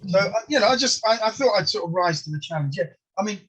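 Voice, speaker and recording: A man's voice: sits high, animated, fast, a bit bright, breathy edge, low-quality recording. A man in his sixties with a London accent, a native Polish speaker, telling rambling, self-deprecating stories as a comedian being interviewed. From England. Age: 60s. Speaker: comedian and guest. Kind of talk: casual interview chat.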